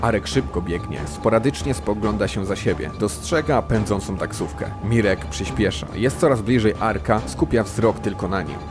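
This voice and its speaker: A man's voice: on the low side, expressive, normal speed, few pauses, music in the background. A man reading scripted present-tense narration for an audio drama.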